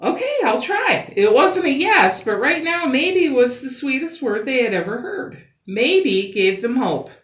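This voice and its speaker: A woman reading a picture book aloud expressively, with dramatic pauses and emphasis. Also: high voice, sing-song. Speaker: children's story reader. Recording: roomy, echoing sound.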